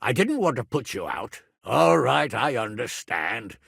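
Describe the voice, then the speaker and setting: An actor voicing a gruff, hot-tempered but loyal middle-aged medieval nobleman in a video game, with a rough, gravelly voice and a blunt, lordly, emphatic manner. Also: medium pitch, big pitch swings, unhurried, fairly bright tone, no room sound, studio quality, heavily acted. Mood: gruff, subdued. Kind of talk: dramatic video game voice acting.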